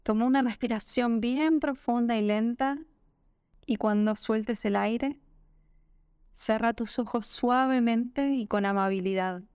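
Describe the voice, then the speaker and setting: Rioplatense lady doing yoga nidra guidance, gentle n calming, really slow, super dry close recording. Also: a little high, clean tone, no echo. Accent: Rioplatense Spanish. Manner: gentle, calming, unhurried instruction. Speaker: yoga nidra meditation guide. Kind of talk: guided meditation narration.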